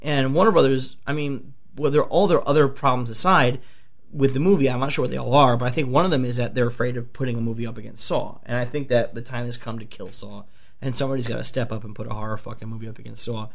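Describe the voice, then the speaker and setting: Gravelly hoarse man ranting about movies. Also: medium pitch, fast talker. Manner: excitable, swears often, many restarts. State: hoarse from a flu; a few bourbons in. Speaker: podcast host. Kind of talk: casual movie talk.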